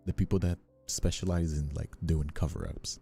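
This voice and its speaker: A man in his twenties who sounds older than his years, chatting casually on a livestream in a halting, rephrasing way. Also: low voice, fairly even intonation, dark tone, soft background music. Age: 20s. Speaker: ASMR streamer and voice actor.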